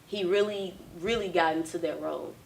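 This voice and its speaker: A woman interviewing an actor in a relaxed way, often affirming with "mm-hmm". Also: lowish voice, brisk, vocal fry, a little hiss or hum. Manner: friendly, affirming with frequent "mm-hmm". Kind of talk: casual interview. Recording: distant mic.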